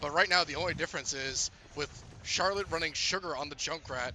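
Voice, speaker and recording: A man's voice: fairly high pitch, brisk, bright, forceful tone, slight background noise, poor audio. A man giving color commentary on a school esports match, breaking down strategy and joking as he goes. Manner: jokey, breaks down strategy with playful quips.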